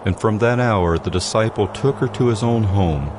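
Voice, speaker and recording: A man's voice: on the low side, normal speed, background noise. A man narrating scripture from a script as a solemn dramatized audio Bible storyteller.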